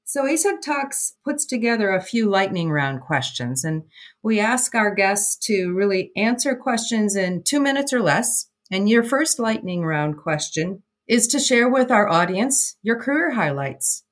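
A woman hosting an interview podcast, asking her guest clear, measured questions. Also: medium pitch, sing-song. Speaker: podcast host.

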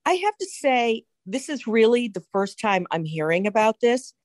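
A woman's voice: fairly high pitch, very animated, moderate pace, darkish tone, dry recording, clean studio sound. A mature woman hosting a conversational interview show, explaining things with emphasis. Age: middle-aged or older. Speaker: talk show host.

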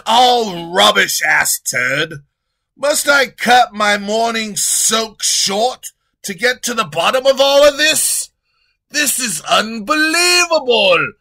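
A man's voice: expressive, takes it slowly, bright, forceful tone, heavily acted. A man voicing a pompous, lazy, rude king who bellows insults at his servant. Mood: blustering. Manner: bellowing, insulting, shrill when panicked.

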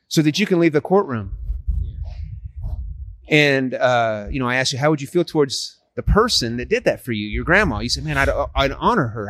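A man's voice: mid-range voice, a bit bright. A man in his forties sharing his faith with a stranger in an earnest, persuasive casual conversation. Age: 40s. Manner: earnest and persuasive, frequent restarts. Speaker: street evangelist.

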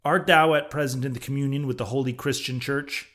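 A man's voice: medium pitch, steady tone, brisk, a bit bright, close to an everyday voice. A man voicing a pious, suspicious old Quaker sea captain in an audiobook, hollow-voiced and preachy, using thee and thou. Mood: solemn, accusing. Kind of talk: audiobook character voice.

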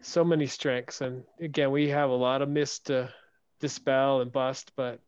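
A man hosting a podcast interview, talking in a soft, hesitant way with many restarts. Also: mid-range voice, little pitch movement, unhurried, clear, resonant tone, no echo, lo-fi sound. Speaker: podcast host. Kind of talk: casual interview chat.

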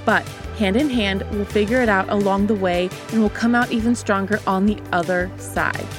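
A woman's voice: medium pitch, fairly even intonation, normal speed, over music. A woman in her twenties, raised in America after a childhood in Bulgaria, hosting her own podcast in an upbeat, chatty way and calling the listener babe. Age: 20s. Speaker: podcast host. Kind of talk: casual solo podcast talk.

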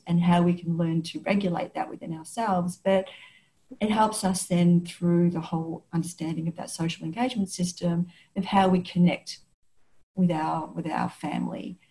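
Australian woman hosting a warm-toned podcast. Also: fairly low pitch, low-quality recording. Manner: thoughtful, restarts sentences, frequent affirming murmurs. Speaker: podcast host. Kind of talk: casual interview chat.

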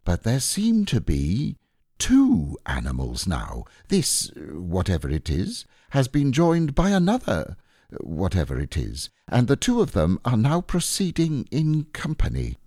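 A man voicing an earnest, puzzled toy bear who muses in a slow, deliberate way, as a storybook character. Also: fairly low pitch, wildly swooping pitch, mellow, no echo, studio quality, full character voice. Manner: ponderous, deliberate, musing. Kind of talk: children's storybook character reading.

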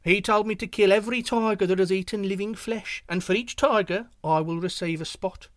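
A man's voice: a little fast, dry recording, studio quality, lightly acted. A man voicing a small, boastful male spotted deer who brags scornfully in a storybook tale. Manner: scornful, shrill bragging.

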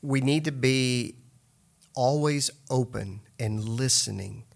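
A man's voice: medium pitch, slow pace, creaky. A man who directs a summer camp, plainspoken and encouraging, giving advice to parents. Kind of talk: conversational interview.

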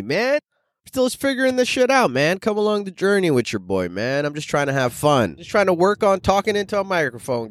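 A man's voice: a little high, dry recording, clean studio sound. A man in his thirties from Canada, hosting a casual solo podcast and telling stories in slangy, profane talk. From Canada, later Arizona. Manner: slangy, animated, profane, addressing listeners as guys. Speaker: podcast host and stand-up comedian.

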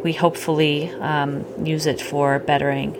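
A middle-aged woman chatting casually with a child at bedtime, gently explaining things and checking in with questions. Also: sits low, flat delivery, moderate pace. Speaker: parent hosting a bedtime story podcast. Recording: clearly noisy home recording.

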